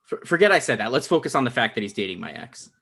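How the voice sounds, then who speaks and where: A man's voice: medium pitch, big pitch swings, fast, gravelly, bright, forceful tone, heavily acted. Man playing a neurotic, vengeful playwright. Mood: scheming. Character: neurotic, vengeful male playwright, obsessed and self-absorbed. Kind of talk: table read of a comedy script.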